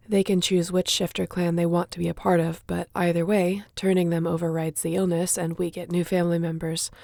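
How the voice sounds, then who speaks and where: A woman's voice: fairly low pitch, creaky, dark tone, no room sound. A woman playing a sassy, competitive young shifter in an audio roleplay, talking in a casual, teasing way. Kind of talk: audio roleplay acting, addressing the listener.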